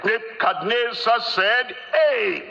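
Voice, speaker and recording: An older man delivering an emphatic sermon, speaking deliberately and repeating phrases for emphasis. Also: very high-pitched, expressive, creaky, pushed and bright, dull audio. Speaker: preacher.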